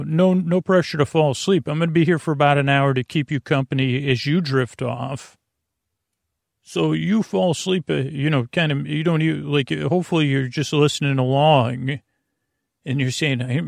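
Gravelly, rambling man hosting a bedtime podcast. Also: a little high, dry recording, clean studio sound. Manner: meandering, halting, self-deprecating; whimsical, acting out little imaginary exchanges. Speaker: podcast host.

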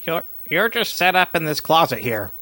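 A woman voicing a bewildered, sensible friend who asks deadpan questions and sometimes squeals in surprise. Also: low voice, moderate pace. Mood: exasperated.

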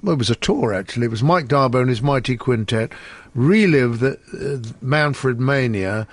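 A man's voice: mid-range voice, normal speed, no room sound. An older man, a musician guest, telling wry anecdotes in easygoing conversation. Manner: chatty, wry storyteller.

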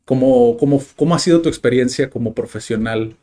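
A man's voice: medium pitch, brisk, mellow. A young man hosting a podcast, chatting casually and loosely, with frequent fillers and restarts. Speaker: podcast host. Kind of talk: casual conversational interview.

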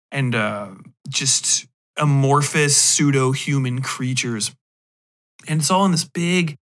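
A man's voice: mid-range voice, a bit bright. A man hosting a podcast, giving a casual, unscripted review in a rambling, emphatic way. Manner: rambling, emphatic, profane slang. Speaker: podcast host.

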